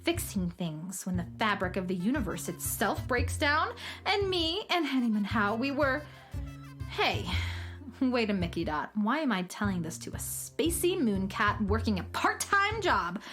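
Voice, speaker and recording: An actress playing a brash, boastful, excitable young female space adventurer in a children's sci-fi radio comedy. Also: fairly high pitch, measured pace, soft background music. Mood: boastful, defensive.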